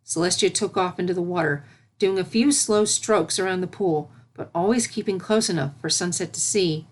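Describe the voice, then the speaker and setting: A woman's voice: lowish voice, moderate pace. A woman reading scripted story narration as the narrator.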